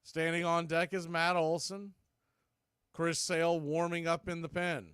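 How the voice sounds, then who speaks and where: A man's voice: on the high side, little pitch movement, unhurried, a bit bright, smooth, no room sound, clean studio sound. A man doing live radio baseball commentary in clipped, conversational phrases, hosting the play-by-play.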